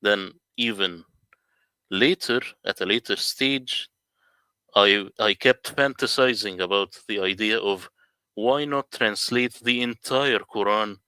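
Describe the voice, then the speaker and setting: A man's voice: lowish voice, slow pace, sharp tone, clear, resonant tone, no room sound. A man from the Middle East whose first language is Arabic, earnestly telling his story in casual livestream talk. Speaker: guest translator.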